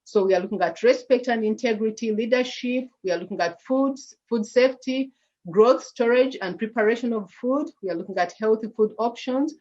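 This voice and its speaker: A woman's voice: on the high side, a little fast, a little mellow, muffled recording. A woman with a Ugandan accent presenting slides on a video call, hesitant, with many fillers and restarts. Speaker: nonprofit founder presenting slides.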